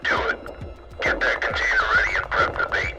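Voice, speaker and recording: A man's voice: brisk, close to an everyday voice. A man playing a decisive, resolute military lieutenant in a sci-fi radio drama, giving terse, authoritative orders. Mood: firm command. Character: commanding male military lieutenant, decisive and resolute under fire. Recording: distant voice under loud music.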